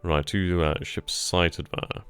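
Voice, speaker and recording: A man's voice: sits low, little pitch movement, slow, fairly bright tone, clean tone, faint music behind. A man hosting a strategy game stream, thinking aloud over his moves and casually answering chat questions. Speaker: gaming channel host. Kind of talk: live strategy game commentary.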